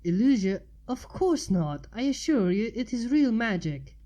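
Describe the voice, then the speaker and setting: A woman's voice: on the high side, big pitch swings, measured pace, mellow, pitched above the performer's own voice. A woman voicing a young female unicorn mage, earnest, caring and a little proud, in a storybook reading. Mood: offended.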